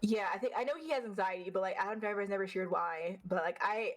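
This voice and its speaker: A woman with a deeper voice and a slight stutter, chatting fast and bluntly as an interview guest, swearing freely. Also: loud music behind the voice. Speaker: vtuber guest. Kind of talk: casual interview chat.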